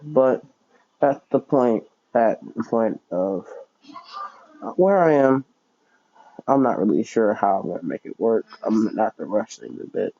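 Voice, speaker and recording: A young man casually telling a fan fiction story out loud, rambling and correcting himself as he goes. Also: lowish voice, animated, unhurried, long pauses, muffled recording. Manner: rambling, self-correcting, many fillers.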